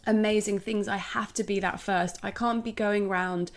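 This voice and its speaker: A woman with a slightly croaky voice, chatting casually as a podcast co-host and leaning on "like" as a filler. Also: mid-range voice, fairly even intonation, normal speed. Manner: chatty, uses "like" a lot, speeds up. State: croaky voice, clearing throat.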